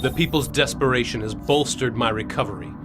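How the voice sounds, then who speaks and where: A man's voice: medium pitch, fairly quick, loud music behind the voice, lightly acted. An actor playing a noble, resolute deposed king in a video game, with a solemn, heroic, declamatory manner. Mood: grave resolve. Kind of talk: video game hero acting.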